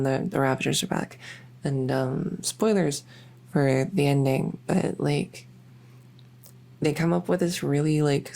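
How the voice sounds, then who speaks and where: A woman's voice: a bass voice, vocal fry, a little mellow, full character voice. A woman playing a shy, lonely, socially awkward vampire who wants friends, talking in a hesitant, rambling way in an ASMR audio roleplay. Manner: hesitant, halting, rambling, full of self-corrections.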